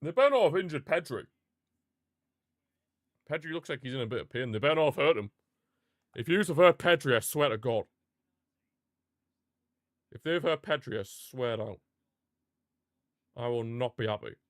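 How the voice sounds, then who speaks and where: Guy streaming a footy watchalong, mid pitch, dry sound, gets worked up and rants at the game. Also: expressive, normal speed, pauses often, no room sound. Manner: passionate, frustrated fan outbursts and rants; talks to live chat viewers. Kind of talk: live match reaction commentary.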